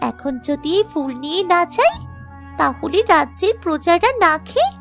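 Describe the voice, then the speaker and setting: A woman playing a kind, selfless young princess in a cartoon fairy tale, with an earnest, gentle manner. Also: very high-pitched, very animated, normal speed, vocal fry, faint music behind, full character voice. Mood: earnest, pleading.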